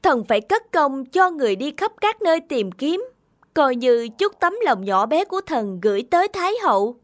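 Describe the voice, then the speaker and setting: A woman voicing a self-serving male court minister in an animated folk tale, formal and self-important. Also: sits high, moderate pace, no echo, clean studio sound. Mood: obsequious. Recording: faint music underneath.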